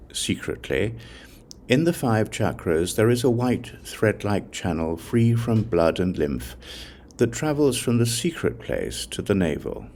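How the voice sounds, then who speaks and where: A man narrating a Buddhist scripture as an audiobook, solemn and deliberate, in short measured phrases. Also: sits low, vocal fry, a little mellow, a little hiss or hum.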